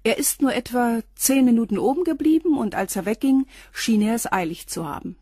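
A woman's voice: a little high, a little fast, mellow, breathy edge, no echo, clean studio sound. An actress playing a reserved, middle-aged female concierge who answers in a guarded, matter-of-fact way in a radio crime drama. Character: reserved, smart female concierge of about forty.

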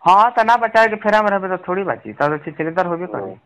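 A man's voice: high voice, expressive, brisk, a bit bright. A man with a Bhojpuri accent arguing heatedly on a recorded phone call, abusive and threatening.

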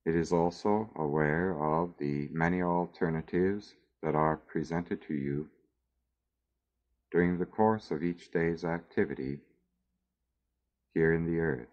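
A man narrating a scripted guided meditation in a measured, soothing way with long pauses, on a dull recording. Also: deep voice, a little mellow.

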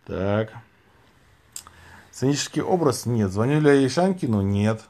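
Guy in his 30s doing a casual phone livestream, answering chat questions, normal pitched voice. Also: expressive, measured pace, darkish tone. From Saint Petersburg, Russia. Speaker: livestream host. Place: small room indoors, lying back on pillows. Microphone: phone at arm's length.

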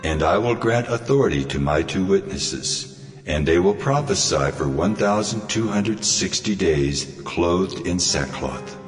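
An actor playing a stern, authoritative heavenly being in a scripture audio drama, solemn and measured. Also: male voice, low-pitched, expressive. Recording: long cavernous reverb. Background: faint music.

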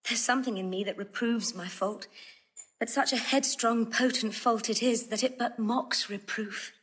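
An actress playing a proud young noblewoman in love, earnest and pleading, with breathy delivery in Shakespearean verse drama. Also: on the high side, steady tone, moderate pace, a bit bright, low-quality recording. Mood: yearning. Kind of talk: theatrical Shakespearean romantic acting.

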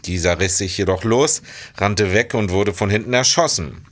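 A man's voice: on the low side, moderate pace, lightly acted. An actor playing a self-assured, matter-of-fact policeman in a historical crime audio drama. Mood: explaining. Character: deep-voiced male security policeman, self-assured investigator of historical crime. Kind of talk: German audio drama acting.

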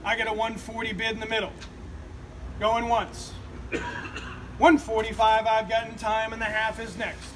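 A middle-aged male auctioneer rattles off bids in a quick, rhythmic chant with a high voice. He is recorded from a distance outdoors on a street, with clear traffic noise behind him. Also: monotone. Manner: rhythmic, repetitive bid chant. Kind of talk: rapid auction calling. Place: outdoors on a street beside a building. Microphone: far camera.

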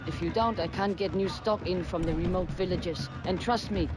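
A man as a high-pitched, pushy gun seller. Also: normal speed, lightly acted. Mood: urging. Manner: informal, salesy. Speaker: weapons shopkeeper character. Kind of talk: video game dialogue.

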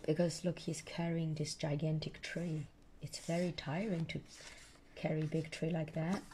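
A woman storyteller with a hoarse voice reading a children's picture book aloud and explaining it simply to a child. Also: low-pitched, little pitch movement, normal speed, dark tone, slight background noise. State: hoarse, losing her voice.

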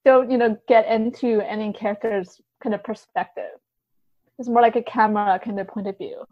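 A woman's voice: fairly high pitch, fairly even intonation, moderate pace, no echo, highs cut off. A woman speaking as a podcast guest in a casual literary discussion, informally hedging her points with many small filler phrases. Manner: hedging, informal, repeats "kind of" and "like".

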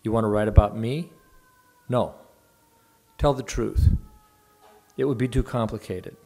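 A man reading aloud as an older woman friend, sharp, mocking and threatening, with a low gravelly voice. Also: fairly even intonation, unhurried. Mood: sharp, scornful. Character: older woman, bitter and fierce, scornful friend.

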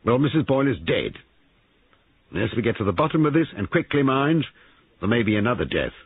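Through a thin, phone-like band comes a man acting a police sergeant in a crime story, voice pushed up high and husky. He is brisk, grave and insistent, questioning in clipped phrases. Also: big pitch swings. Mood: urgent, pressing. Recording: narrow-band, phone-like radio sound.

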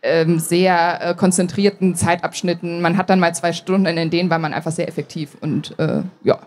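A woman's voice: lowish voice, steady tone, normal speed, darkish tone, slight background noise. A woman in her thirties chatting casually as a guest in a live interview, often interrupting herself. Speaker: podcast author being interviewed. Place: small stage at a book fair. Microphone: handheld microphone.

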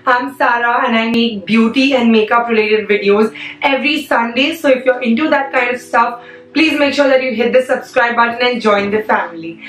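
A hoarse young woman, chatting casually as a beauty vlogger, full of "like" fillers. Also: on the high side, moderate pace, fairly bright tone. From India. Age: young adult. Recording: echoey room, a bit far from mic, faint music.

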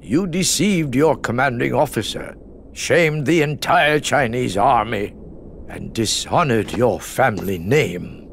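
An actor playing a stately elderly emperor, stern yet benevolent, speaking formally and with solemn measure in a game cutscene. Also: male voice, mid-range voice, slow, creaky, slight background noise.

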